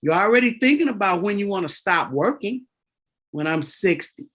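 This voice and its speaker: Bright, high-voiced man in his fifties. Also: normal speed. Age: 50s. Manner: animated, emphatic, repeats key words. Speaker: guest, insurance and debt author. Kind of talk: explaining finance in a podcast interview.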